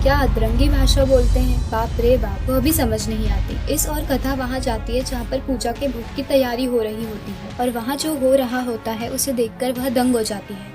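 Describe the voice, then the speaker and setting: A woman briskly retelling a TV serial episode, getting louder when she quotes the characters. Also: high-pitched. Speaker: show recap narrator. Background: background music.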